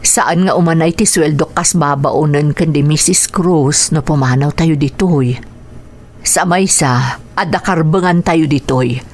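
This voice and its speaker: A woman playing a patient, sorrowful working mother, speaking gently and apologetically in a radio drama. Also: low-pitched, a little slow. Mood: weary, patient.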